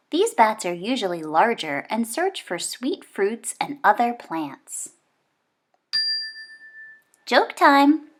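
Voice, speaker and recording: A woman reading a children's nonfiction book aloud, expressively and with clear phrasing for young listeners. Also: on the high side, a bit bright.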